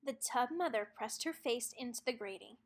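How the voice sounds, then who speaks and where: A woman narrating a children's storybook aloud in an expressive read-aloud style. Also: a little high, normal speed.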